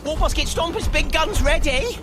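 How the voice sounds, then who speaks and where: A performer voicing a small, servile goblin-like alien minion in a video game, with a shrill, squeaky voice. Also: animated, moderate pace. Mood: eager, servile. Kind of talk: video game character acting. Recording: loud music behind the voice.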